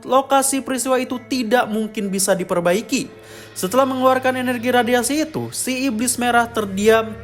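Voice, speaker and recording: A man narrating a scripted explainer of a horror story. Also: sits high. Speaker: narrator of a film lore channel.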